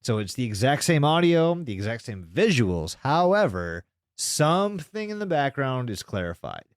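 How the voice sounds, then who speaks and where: A man's voice: medium pitch, sing-song, moderate pace, no room sound, studio quality. A man co-hosting a podcast in casual chat, informal and emphatic, with plenty of swearing. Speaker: podcast co-host. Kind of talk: casual chat recapping a web horror series.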